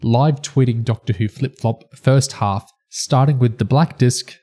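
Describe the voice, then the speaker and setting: A man's voice: medium pitch, measured pace, mellow. A man podcast host reading out notes and quotes in a presenting style.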